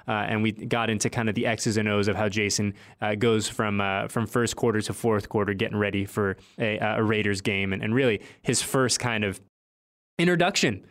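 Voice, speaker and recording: A man's voice: lowish voice, moderate pace, fairly bright tone. An American man speaking as an upbeat, chatty sports show host and interviewer, full of enthusiasm. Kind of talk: upbeat sports podcast talk.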